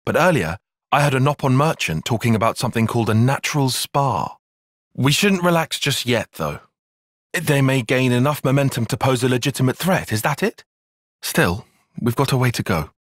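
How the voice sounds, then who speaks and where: A young male tactician from a video game, earnest yet guarded. His phrasing is precise and analytical, with a sarcastic bite and a very mobile pitch. The voice has a slightly breathy, husky grain and sits close and dry, without room sound. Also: big pitch swings, dry recording. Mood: measured, analytical. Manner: precise, articulate, dryly sarcastic, measured. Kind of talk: video game cutscene voice acting.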